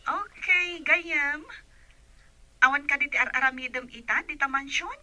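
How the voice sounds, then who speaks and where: Sharp, high-voiced young visitor. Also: female voice, a little slow, full character voice. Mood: casual. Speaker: radio drama actress. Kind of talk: radio drama acting, phone conversation.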